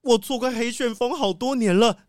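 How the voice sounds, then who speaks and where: A woman's voice: a little high, normal speed, a little mellow, no room sound, clean studio sound, close to an everyday voice. A woman with a Taiwanese accent voicing an earnest, easily baffled wolfdog sheriff who exclaims a lot in a children's audio drama. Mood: astonished.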